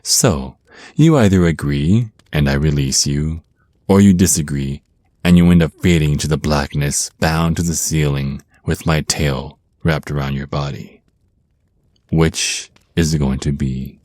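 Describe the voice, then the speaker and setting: An extremely low-voiced, flirty vampire naga villain, acted by a man, speaks in an intimate ASMR roleplay. He is ancient, possessive and taunting, drawing out his menace at an unhurried pace. The sound is close and dry. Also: a little mellow, no echo. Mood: coolly threatening. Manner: slow teasing menace, pet names, breathy pauses.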